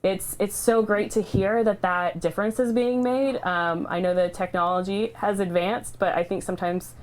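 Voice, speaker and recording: A woman speaking casually and earnestly, in halting, unscripted phrases, as a livestream host sharing a personal story. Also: medium pitch, steady tone, slight background noise. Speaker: charity livestream host sharing her cancer treatment story. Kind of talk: casual, heartfelt unscripted talk.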